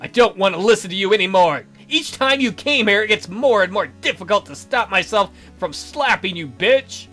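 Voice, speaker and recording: A woman's voice: medium pitch, expressive, normal speed, few pauses, fairly bright tone, soft background music. A woman voicing a bitter, scornful man in a visual novel read-through, accusing and contemptuous. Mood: angry.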